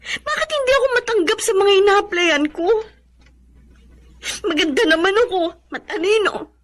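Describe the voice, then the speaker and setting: A woman's voice: very high voice, sing-song, normal speed, fairly bright tone, heavily acted. A Filipino woman playing an emotional young jobless daughter, proud and then humbled, in a radio drama. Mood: frustrated, tearful. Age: young adult.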